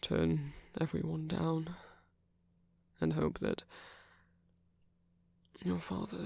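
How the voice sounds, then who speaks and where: Man playing a slow-spoken, gentle king in love. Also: fairly high pitch, fairly even intonation, creaky, slightly rough, no echo, exaggerated voice. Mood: candid, hesitant. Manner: soft, hesitant, formal and earnest, lapsing into whispers. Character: gentle, nervous king confessing love to a prince. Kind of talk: intimate ASMR audio roleplay.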